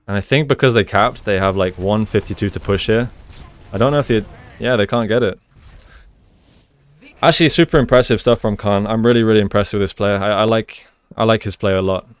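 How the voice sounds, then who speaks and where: A man with a fairly low, clean and smooth voice breaks down video game play as a coach. He explains things in a measured way, often repeating a phrase to stress a point. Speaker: esports coach, video host. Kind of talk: gameplay analysis commentary.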